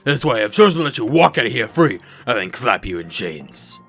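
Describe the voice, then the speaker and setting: A man's voice: medium pitch, big pitch swings, fast talker, fairly bright tone, soft background music, pitched below the performer's own voice. A man voicing a stern, suspicious expedition commander for a fan-made game series, speaking formally and with authority. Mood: stern, commanding.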